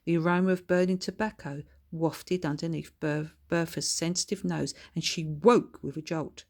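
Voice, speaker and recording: Woman, author reading, children's story narration, slightly low pitch. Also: fairly even intonation, moderate pace, a little mellow. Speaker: author reading own book.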